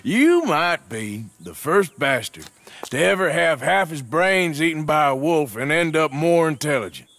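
An actor playing a gruff, menacing American outlaw in a video game, blunt and folksy with a dry wit. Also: male voice, on the high side, big pitch swings, measured pace, fairly bright tone, no echo, exaggerated voice. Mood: wry, laid-back. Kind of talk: video game character acting.

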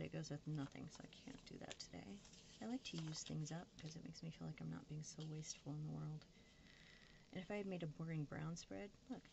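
A woman with a creaky voice rambles casually and candidly to her viewers, poking fun at herself and half talking to herself as she crafts at a table. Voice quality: low, creaky voice. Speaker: craft vlogger. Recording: noisy room recording with background hiss.

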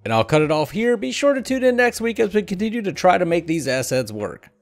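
Animated male host, casual podcast style. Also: sits high, fast talker, faint music behind. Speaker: show host.

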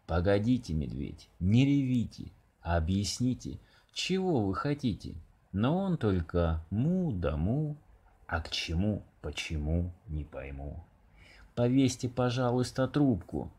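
Man playing a harried, husky phone answerer. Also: lowish voice, very animated, a little slow, mellow, heavily acted. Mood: exasperated. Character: harried, soft-hearted man answering endless animal phone calls.

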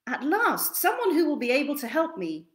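A woman's voice: sits high, wildly swooping pitch, normal speed, fairly bright tone, roomy sound, voice pushed up, exaggerated voice. A woman voicing an indignant little mole for a children's storybook. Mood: hopeful.

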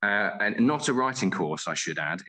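A low-pitched British man speaks as an interview guest. His tone is bright and forward, and he is earnest and articulate, often reworking a sentence as he thinks it through. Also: very animated, normal speed. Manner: earnest, articulate, thinking aloud with self-corrections. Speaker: interview guest, playwright and theatre company director. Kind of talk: conversational interview answer, reflective.

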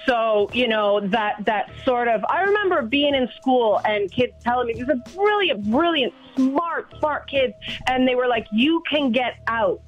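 A woman's voice: fairly high pitch, lively intonation, a little slow, faint music behind. Woman on a dull phone line. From Toronto, Canada. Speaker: radio interview guest. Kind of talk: earnest conversational interview.

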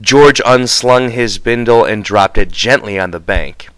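A man narrates a novel as an audiobook reader. His voice sits fairly low, and the sound is dry, with very little room in it. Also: measured pace, fairly bright tone, dry recording, poor audio.

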